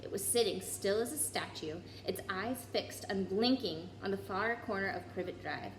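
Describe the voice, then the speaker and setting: A woman reading a children's story aloud in an expressive way, with occasional small stumbles over the text. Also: mid-range voice, moderate pace. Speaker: story reader. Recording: roomy echo, clear background noise.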